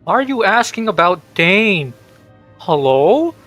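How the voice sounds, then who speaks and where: A young male streamer gives casual, incredulous reactions to a video game. His voice is bright and forceful, and he lets the words come slowly, with blunt slang. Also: high-pitched, sing-song, soft background music. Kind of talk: casual gameplay reaction commentary.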